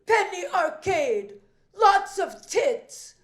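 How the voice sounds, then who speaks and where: A woman imitating a bullying male stage director who yells insults at an actress in a shrill, slow, contemptuous way. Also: very animated, heavily acted. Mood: yelling. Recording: roomy echoing hall.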